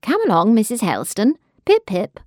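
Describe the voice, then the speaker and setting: A woman voicing a brisk, bossy young woman taking charge in a crisis. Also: mid-range voice, huge pitch swings, darkish tone, dry recording, studio quality. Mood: commanding. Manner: brisk, commanding.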